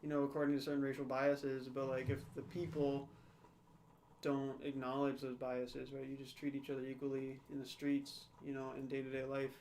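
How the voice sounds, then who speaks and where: A man's voice: medium pitch, completely flat delivery, normal speed, darkish tone, a little hiss or hum, low-quality recording. A young man co-hosting a podcast, talking things through in a thoughtful, hedging way, often checking in with "right?". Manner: thoughtful, hedging, frequent "right?" and "you know". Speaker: podcast co-host. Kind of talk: casual discussion.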